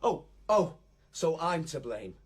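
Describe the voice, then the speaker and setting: A man's voice: a little high, sing-song, takes it slowly, slightly rough, full character voice. A man with a British accent playing an exasperated, strict middle-aged father in a naturalistic radio drama. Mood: defensive.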